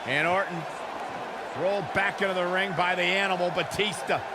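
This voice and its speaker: A man's voice: high voice, fairly even intonation, moderate pace, lightly acted. A man playing a dramatic, alarmed play-by-play wrestling announcer in a video game, speaking emphatically. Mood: dramatic, excited. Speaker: lead play-by-play wrestling commentator. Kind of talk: excited sports commentary in a video game. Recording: loud arena crowd noise behind. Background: roaring wrestling crowd.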